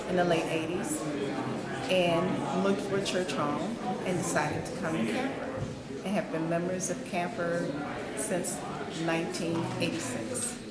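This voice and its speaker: A woman from Birmingham, Alabama, a church member, introducing herself in a plain, unhurried way. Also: lowish voice. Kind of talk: self-introduction to camera. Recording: roomy hall with crowd noise behind.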